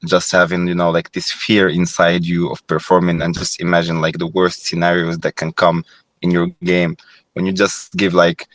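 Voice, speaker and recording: A man's voice: low voice, monotone, moderate pace, fairly bright tone, no room sound. A young man from Morocco, a former goalkeeper, talking thoughtfully as a podcast guest, with frequent fillers and restarts. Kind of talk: reflective podcast interview.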